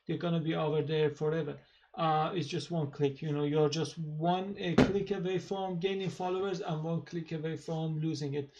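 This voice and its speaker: A man with a thick Persian accent, a native Persian speaker, hosting his own channel and talking in a halting casual monologue with many fillers and restarts. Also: on the high side, steady tone, highs cut off, very noisy. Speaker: channel host.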